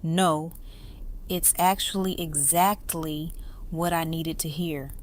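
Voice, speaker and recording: A woman playing a shattered, grieving survivor in an audio drama, subdued and halting. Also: deep voice, steady tone. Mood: despairing.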